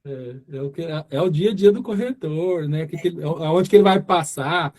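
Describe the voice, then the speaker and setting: Mellow-voiced man with a Goiás accent. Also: fairly high pitch, normal speed. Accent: Goiás Brazilian Portuguese. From Goiás, Brazil. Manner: rambling, many fillers and restarts. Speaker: course instructor. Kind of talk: informal class talk.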